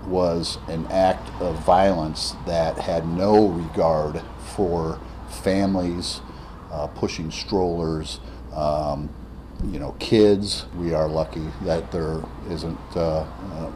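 Middle-aged police official, very deep voice, hesitant, audible background noise. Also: male voice, fairly even intonation, mellow. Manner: earnest, halting with fillers. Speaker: police commander. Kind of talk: interview statement. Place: indoor office.

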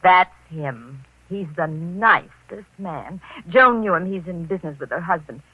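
Breezy young wife, flighty, coy, bright voice, very lively pitch, 1940s radio mystery style. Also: female voice, measured pace, breathy edge, dry recording, exaggerated voice, rough recording. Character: detective's dazed, breezy, playful young wife.

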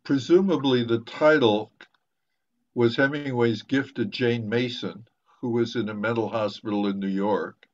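An older man speaking as a professor leading a literary discussion, halting and deliberate, with long pauses, over a video call. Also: mid-range voice, fairly even intonation. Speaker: professor moderating a book discussion. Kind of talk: literary discussion, lecturing informally. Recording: muffled, dull video call audio.

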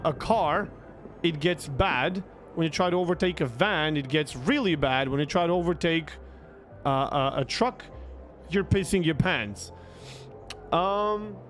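A young man with a Croatian accent and a fairly high voice tells a story casually to his stream. He is emphatic and swears freely, repeating words to drive a point home. He speaks close to a desktop mic, with music faintly behind him. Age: young adult. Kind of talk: casual storytelling to a livestream chat. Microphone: close desktop microphone with headset.

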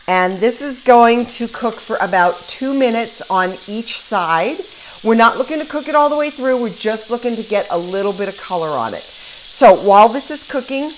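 A woman hosting an informal cooking demonstration, giving step-by-step instructions with chatty little asides. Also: medium pitch. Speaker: home cooking show host. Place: home kitchen, indoors. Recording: kitchen noise, faint sizzling and clatter.

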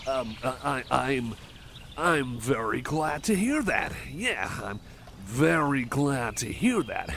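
Man acting a shy, stammering, creaky-voiced cyborg buddy. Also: medium pitch, sing-song, takes it slowly, fairly bright tone, a little hiss or hum, heavily acted. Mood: nervously pleased. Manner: hesitant, stammering, apologetic. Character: shy, awkward, eager-to-please young male cyborg buddy. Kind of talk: ASMR roleplay, rambling to the listener.

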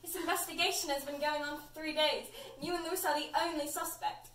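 A woman's voice: sits high, fairly even intonation, quick pace, a bit bright. A woman voicing a mocking, giggly police officer in an amateur fan fiction reading. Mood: accusing. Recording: roomy, distant mic, faint noise.

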